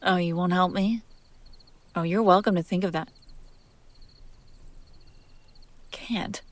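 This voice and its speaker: A woman's voice: on the low side, fairly even intonation, moderate pace, lightly acted. A woman playing a possessive, sardonic and curious female demon in an intimate, wry audio roleplay spoken to the listener. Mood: insistent, startled. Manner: teasing, wry, conversational, breaking into hushed whispers.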